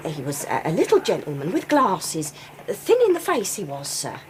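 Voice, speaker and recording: A woman's voice: fairly low pitch, huge pitch swings, normal speed, a crowd in the background. An actress playing a deferential working-class English landlady in a radio drama, hesitant, chatty and respectful. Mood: flustered, apologetic.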